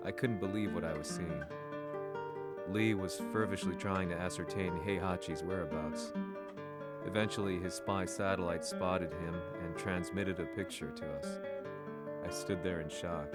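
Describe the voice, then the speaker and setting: A man's voice: sits low, steady tone, normal speed, loud music behind the voice, lightly acted. An actor playing an earnest, troubled male journalist in a dramatic video game story, somber and probing. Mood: somber, reflective.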